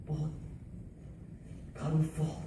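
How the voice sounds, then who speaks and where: A man's voice: takes it slowly, mellow. A man performing a dramatized first-person war monologue as an anguished soldier, with intense, theatrical delivery. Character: anguished male soldier recounting losing his comrades in battle. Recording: echoey room, distant mic, faint noise.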